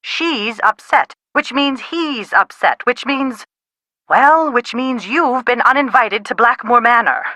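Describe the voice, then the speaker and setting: Bright, exasperated middle-aged mother. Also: a little high, slow pace, no echo. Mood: suspicious. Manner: exasperated, dramatic, dry wit. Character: anxious, sharp-tongued middle-aged mother, wry and bossy. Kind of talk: video game dialogue, phone conversation acting.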